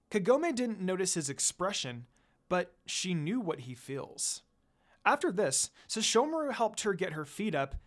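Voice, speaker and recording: A man reading a story aloud as scripted narration. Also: high-pitched. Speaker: fan fiction narrator.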